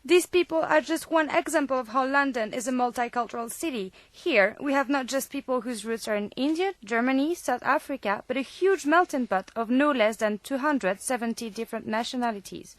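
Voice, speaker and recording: A woman's voice: high voice, fairly quick, few pauses, dry recording. A woman with French as her first language, presenting a student radio programme from a reading script.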